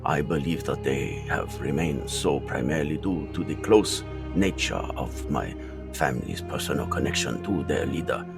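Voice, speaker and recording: A man acts out an aged rat ninja master in a motion comic. The voice is low and grave, with formal, measured authority. Prominent music plays underneath. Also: expressive, creaky, full character voice. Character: old male mutant rat ninja master and father. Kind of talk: motion comic fan dub acting.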